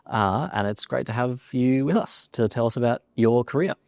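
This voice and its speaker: A man's voice: medium pitch, normal speed, vocal fry, darkish tone, no echo. A young man interviewing a guest, a friendly economist who hesitates now and then with fillers. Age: young adult. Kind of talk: podcast interview.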